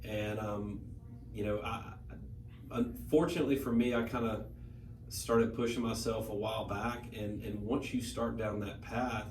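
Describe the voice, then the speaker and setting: A man in his late thirties talks in a casual podcast chat, his voice sitting low. He rambles and circles back, repeating words as he restarts his thoughts. The recording is roomy and noisy, with the mic some way off. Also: steady tone, measured pace. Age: 30s. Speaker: guest, brewery owner. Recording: echoey room, noisy, mic at a distance.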